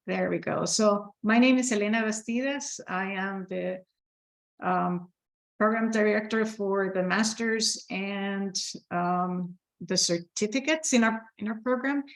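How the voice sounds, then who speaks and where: A woman's voice: medium pitch, a little slow. A middle-aged woman with an Ecuadorian Spanish accent, giving a program presentation over a video call with many fillers and restarts. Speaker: program director. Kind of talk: virtual open house presentation.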